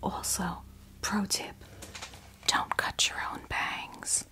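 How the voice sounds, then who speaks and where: A woman chatting in a breathy, creaky whisper for an ASMR unboxing video, rambling hesitantly through little asides as the host. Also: slow, sharp tone. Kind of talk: ASMR, soft rambling chat. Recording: music playing behind the voice. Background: clear background music.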